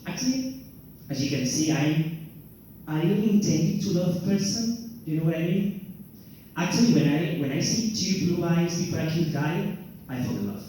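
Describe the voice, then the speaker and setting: Spanish-accented comedian in an echoey club. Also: male voice, on the high side, expressive, normal speed, dark tone. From Spain. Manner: self-mocking, playful, theatrical. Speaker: stand-up comedian. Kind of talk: stand-up routine. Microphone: handheld stage mic. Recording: very echoey room, distant mic, faint noise.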